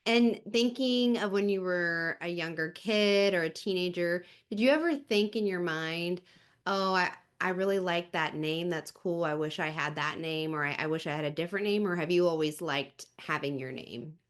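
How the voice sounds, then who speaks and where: Friendly low-voiced woman podcast host. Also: normal speed. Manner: friendly, affirming, asks questions. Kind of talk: casual interview.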